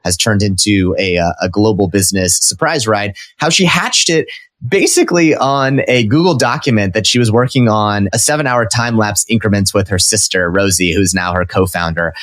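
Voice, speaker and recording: A man's voice: on the low side, lively intonation, moderate pace, a bit bright, dry recording. Upbeat chatty male podcast host. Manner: upbeat, chatty, many fillers and restarts. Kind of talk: casual interview chat. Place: studio.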